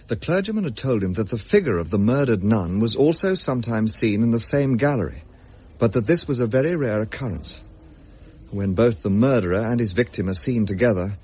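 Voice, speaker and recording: An actor playing a gentleman narrator who recounts his own ghost story in measured, formal period storytelling, with a husky voice. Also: medium pitch.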